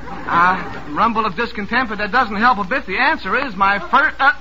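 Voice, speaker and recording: A man with a high voice runs a 1940s radio quiz show in a jovial, teasing way. He reads out listener questions and ribs the contestants. The sound is a hissy, noisy old broadcast. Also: moderate pace, sharp tone, muffled recording.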